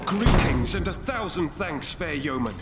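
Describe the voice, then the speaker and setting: A noble knight declaims formally in a fairly high, unhurried, expressive voice. The game cutscene has loud music underneath. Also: male voice. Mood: courtly. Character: noble, courtly medieval knight. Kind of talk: animated video game cutscene acting.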